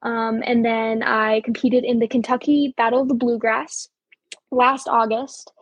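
A woman's voice: on the high side, flat delivery, unhurried, slightly rough, dry recording, dull audio. A seventeen-year-old woman from Colorado, a young auctioneer chatting upbeat in an interview, with frequent ums and small laughs. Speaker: auctioneer guest. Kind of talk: casual interview chat.

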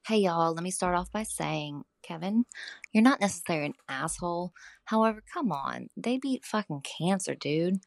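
Florida-accented woman listener, lowish voice, dry close recording, chatty voice memo. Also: lively intonation, normal speed, vocal fry, no room sound. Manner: chatty, frank, says "y'all". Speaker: listener sending a voice message. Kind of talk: recorded voice message.

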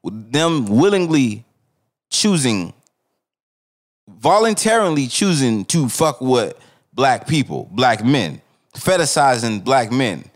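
A young man talking fast and loose on a podcast, his pitch swinging widely as he makes his points. He swears freely and checks in with "you feel me" over and over. His pitch sits at an ordinary middle height. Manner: animated, profane, repeats "you feel me". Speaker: podcast co-host. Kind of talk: casual chat.

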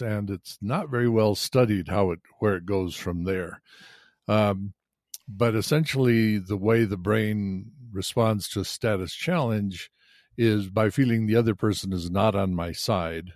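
An older man explaining ideas in a podcast chat, hesitant with many filler pauses. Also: on the low side, a little mellow, no room sound, clean studio sound. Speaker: podcast co-host. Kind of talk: explanatory conversation.

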